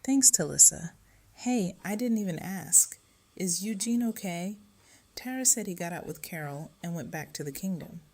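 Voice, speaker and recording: A woman's voice: on the low side, lively intonation, moderate pace, warm tone. An actress playing a resilient, weary widowed mother who speaks frankly in an audio drama. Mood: warm concern.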